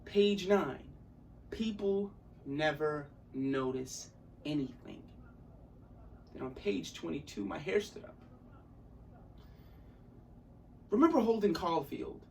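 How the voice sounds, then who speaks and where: Intense, articulate young man played by a male actor, brooding theatrical monologue, dramatic pauses, off-mic sound. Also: a little high, lively intonation, gravelly, darkish tone. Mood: incredulous, building. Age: young adult. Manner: emphatic, with deliberate dramatic pauses. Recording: distant mic, audible room noise.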